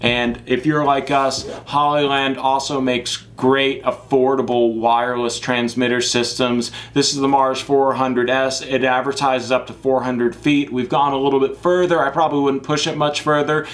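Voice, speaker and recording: Male product reviewer, average pitch, casual and chatty delivery, emphatic, informal asides, talking straight to camera. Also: flat delivery, moderate pace, few pauses. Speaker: product reviewer, live sports production crew. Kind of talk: casual semi-scripted gear review to camera.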